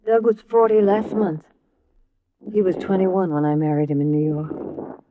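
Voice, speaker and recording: A woman acting as a grieving, anxious middle-aged widow in a 1950s American radio drama, speaking in a halting, strained way. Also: fairly low pitch, crowd noise, natural voice. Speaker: actress in a radio detective series. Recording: dull, faintly noisy vintage radio recording.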